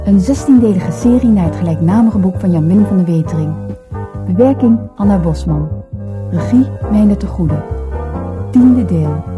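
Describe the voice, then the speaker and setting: A woman's voice: medium pitch, expressive, moderate pace, music in the background. A woman reading a scripted announcement for a radio serial.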